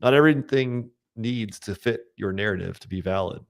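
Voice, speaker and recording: A man's voice: fairly low pitch, normal speed, gravelly. Man reading out online posts. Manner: plain, reading out posts with many ums. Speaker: podcast co-host. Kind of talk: casual chat.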